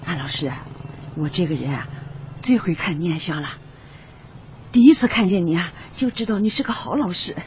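A woman's voice: on the low side, moderate pace, pauses often, a little hiss or hum, lightly acted. An actress playing a persistent, doting middle-aged mother, fussy and eager, in a radio drama. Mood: coaxing. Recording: slightly dull audio, music behind.

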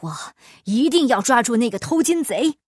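A woman's voice: fairly high pitch, expressive, normal speed, a little mellow, no echo, clean studio sound. A woman voicing an angry, stern male chief judge in a children's story, vehement in delivery. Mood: furious.

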